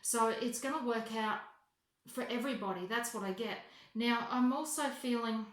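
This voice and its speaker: A woman giving an unscripted psychic reading as a voicemail, halting and correcting herself as she goes, often repeating phrases for emphasis. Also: on the high side. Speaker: psychic reader. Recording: roomy, echoing voice recording.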